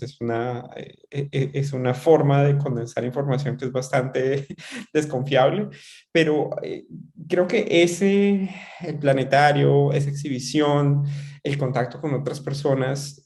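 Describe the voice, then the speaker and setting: A man in his thirties from Bogotá, with a Bogotá Colombian accent, giving reflective, measured answers as an interview guest. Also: mid-range voice, vocal fry, a little mellow. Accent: Bogotá Colombian Spanish. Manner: reflective, measured, with frequent pauses. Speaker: astrophysicist guest.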